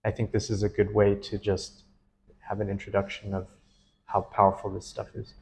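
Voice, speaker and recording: Man, dream analyst, casual interview, earnest and enthusiastic, lowish pitch. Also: monotone, moderate pace, gravelly. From United States. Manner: earnest, enthusiastic, laughs easily.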